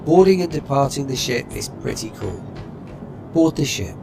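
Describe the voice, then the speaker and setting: A man narrating a game walkthrough in a measured, matter-of-fact way. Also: fairly high pitch, big pitch swings. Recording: music playing behind.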